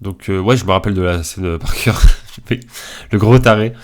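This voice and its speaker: A man's voice: sits low, normal speed. A young man with a head cold giving casual, slangy tier list commentary in a hesitant, informal way. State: congested from a cold. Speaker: video creator.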